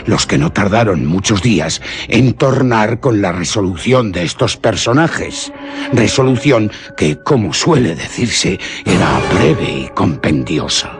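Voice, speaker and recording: Low-voiced man narrating suspense. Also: animated, faint music behind. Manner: solemn, deliberate storytelling. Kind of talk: dramatic scripted story narration for suspense radio.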